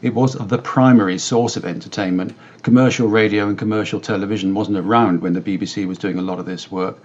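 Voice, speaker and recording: A British man giving measured, articulate explanations as an expert guest in a conversational interview. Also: fairly low pitch, fairly even intonation, muffled recording. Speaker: company director interviewed as guest.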